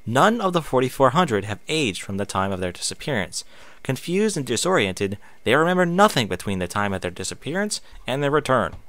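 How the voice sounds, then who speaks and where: A man's voice: medium pitch, very animated, a little fast, fairly bright tone, no room sound. A man reading aloud in a casual way, sometimes stumbling and slipping into off-script asides. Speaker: online reader and streamer.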